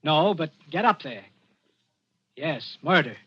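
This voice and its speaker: A man's voice: fairly high pitch, lively intonation, slow pace, heavy background noise. A man acting a nervy, evasive criminal in a 1940s radio drama, with a stammering manner. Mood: panicked, urgent. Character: nervy, evasive male criminal cornered by police. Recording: dull vintage 1940s radio recording.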